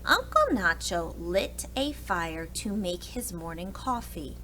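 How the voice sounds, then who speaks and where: A woman preschool teacher reading a storybook aloud to young children in an expressive, deliberate way. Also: lowish voice, a little hiss or hum.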